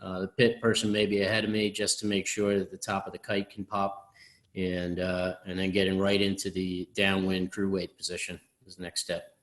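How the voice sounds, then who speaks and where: A man's voice: sits low, completely flat delivery, normal speed, a bit bright. A man on a racing sailboat's crew, describing a maneuver step by step in a methodical way. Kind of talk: webinar explanation. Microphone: video call.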